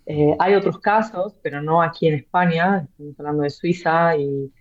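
A woman's voice: sits low, fairly even intonation, normal speed. A woman answers interview questions at length. She talks with frequent fillers and restarts. The sound is dull and lo-fi. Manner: hesitant, many fillers and repeated words. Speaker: author and blockchain real estate guest.

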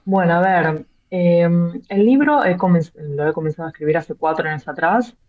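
A woman's voice: fairly low pitch, normal speed. A woman answers interview questions at length. She talks with frequent fillers and restarts. The sound is dull and lo-fi. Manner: hesitant, many fillers and repeated words. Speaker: author and blockchain real estate guest.

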